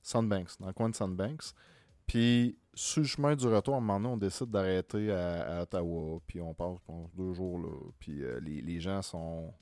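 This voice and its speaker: Animated Québécois man telling stories. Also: deep voice, moderate pace, dry recording. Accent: Québécois French. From Quebec. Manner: animated storyteller, swears freely. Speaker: podcast co-host. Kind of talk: casual chat.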